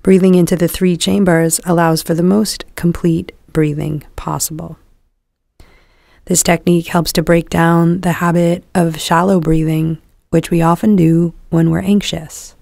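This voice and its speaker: A woman guiding a meditation in a calm, soothing, measured delivery, letting her phrases end softly. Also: lowish voice, gravelly, no echo, clean studio sound. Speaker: meditation guide. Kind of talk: guided meditation narration.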